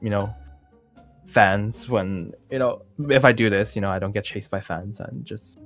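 A man's voice: lowish voice, steady tone, a little slow, a bit bright. Nervous stammery guy a man roleplaying in a minecraft zombie story, forgetful celeb type, faint music under it. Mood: casual, unsure. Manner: hesitant, stammering, repeating words. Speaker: roleplay player. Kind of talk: improvised roleplay acting in a Minecraft series.

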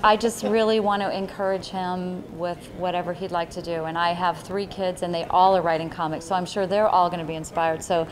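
A woman's voice: lowish voice, little pitch movement, a little fast, few pauses. A woman, a mother of three, speaking thoughtfully and supportively in a casual interview. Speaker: parent.

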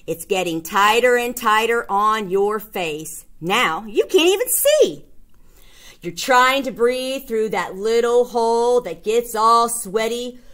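A woman's voice: on the high side, lively intonation, slow, fairly bright tone. A woman reading a children's picture book aloud, expressively and with emphatic delivery. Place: indoors, small classroom or office.

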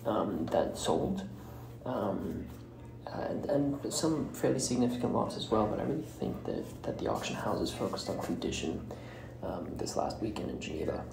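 Very deep, gravelly male podcast host. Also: expressive, crowd noise. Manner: halting, hesitant, often breaking phrases mid-way. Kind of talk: solo podcast commentary. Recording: roomy echo with clear background noise.

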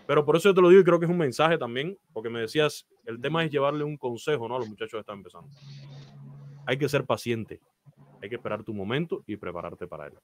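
A man's voice: mid-range voice, quick pace, slight background noise. A man in his thirties with a Cuban Spanish accent, a baseball announcer talking earnestly about his career and giving advice on a video call, with frequent "eh" fillers. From Cuba. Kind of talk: interview guest telling his career story. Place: small room, indoors.

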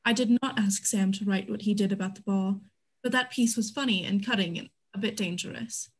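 A woman playing a worldly, defiant brothel madam, measured and articulate in a dramatic monologue. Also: medium pitch, steady tone, slightly rough. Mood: wary, defiant. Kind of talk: staged reading of a dramatic monologue. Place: indoors at home on a video call.

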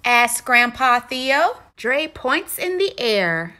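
A woman reads a children's story as narrator. Her voice is fairly high and lively in melody, and she takes it slowly and deliberately, with clear expression.

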